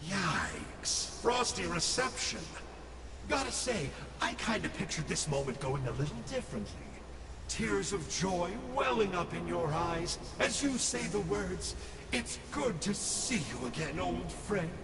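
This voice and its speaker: A man's voice: high voice, big pitch swings, unhurried, gravelly, in a large hall, far from the mic, background noise, heavily acted. An actor playing a sly, theatrical male Abyss scholar who talks in a glib, mocking way.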